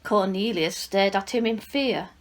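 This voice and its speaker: A woman reading a Bible passage aloud in a measured, expressive way, as a scripture reader. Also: medium pitch.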